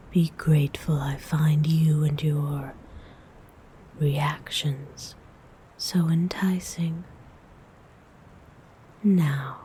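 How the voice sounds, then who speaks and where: Actress as female vampire, ASMR roleplay: breathy, mellow whisper, seductive and condescending, unhurried, some background noise. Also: deep voice, exaggerated voice. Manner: slow, haughty, teasing, formal wording. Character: seductive, haughty female vampire feeding on a willing victim.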